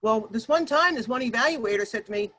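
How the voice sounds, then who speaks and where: A woman with a loud, projecting voice leads an online workshop, addressing her listeners directly. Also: a little high, animated, fairly quick. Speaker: workshop leader and Toastmasters speaker. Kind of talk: workshop presentation to an online group. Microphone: video call.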